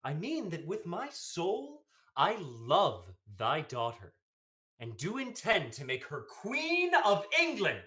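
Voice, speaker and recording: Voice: mid-range voice, wildly swooping pitch, slow pace, sharp tone, exaggerated voice. A young actor playing a scheming, proud and persuasive usurper king in a Shakespearean radio play, in emphatic formal verse. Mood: sly wooing. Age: young adult. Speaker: student actor.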